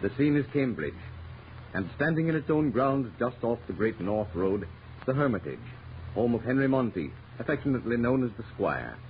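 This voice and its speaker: A man's voice: medium pitch, very animated, moderate pace, slight background noise. A man narrating a mystery in the dramatic scripted style of 1930s radio. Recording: muffled 1930s radio broadcast with music. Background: music.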